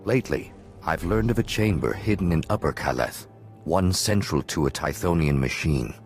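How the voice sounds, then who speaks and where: Very deep-voiced, solemn hermit sage. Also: male voice, animated, faint music behind. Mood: calm, grave. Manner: measured, formal, philosophical. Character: solemn male hermit Jedi watchman, calm, penitent, cryptic. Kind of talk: video game voice acting.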